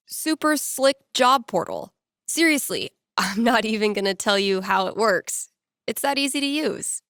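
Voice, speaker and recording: A woman's voice: mid-range voice, no echo. Wry young female DJ for a narrative game: casual, sardonic, playful, very dry close studio sound. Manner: sardonic, deadpan asides, playful mock grandeur. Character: wry young woman radio DJ and record store clerk. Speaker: radio DJ. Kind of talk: scripted game dialogue, casual radio patter.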